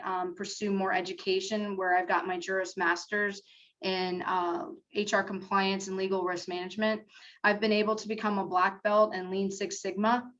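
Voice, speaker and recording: A middle-aged woman speaks on a career panel over a video call, earnest and a little halting, leaning on ums as she goes. The audio is dull and lo-fi, with a medium-pitched voice. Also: a bit bright. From South Florida. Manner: earnest, hesitant with many fillers. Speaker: school district HR manager, panelist.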